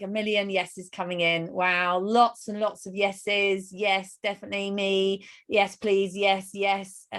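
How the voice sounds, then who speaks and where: A woman hosting a live webinar and interviewing in a casual, enthusiastic manner. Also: medium pitch.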